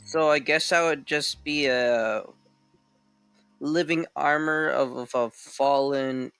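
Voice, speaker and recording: Man from mexico, mid pitch, bright tone, dry close sound, halting speech. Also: steady tone, clear, resonant tone, no room sound, soft background music. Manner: halting, stumbles over words. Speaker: guest. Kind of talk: casual chat.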